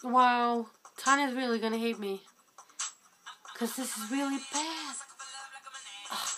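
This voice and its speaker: A woman's voice: a little high, slow pace, music in the background, poor audio. A woman in her twenties, originally from Albania, rambling through an unplanned vlog into a webcam with lots of fillers and restarts, poking fun at herself. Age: 20s. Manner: rambling, self-deprecating, many fillers and restarts. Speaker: guest vlogger. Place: small dim room indoors. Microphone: webcam at close range.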